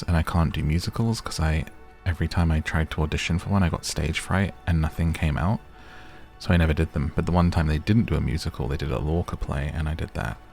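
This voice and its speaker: Very deep, creaky man chatting softly. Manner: soothing, unhurried chat. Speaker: gaming streamer. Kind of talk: relaxing gameplay commentary, gaming ASMR.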